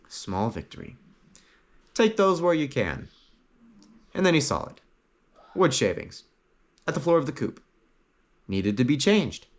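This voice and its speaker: Man narrating a story with lively, swooping pitch. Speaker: audiobook narrator.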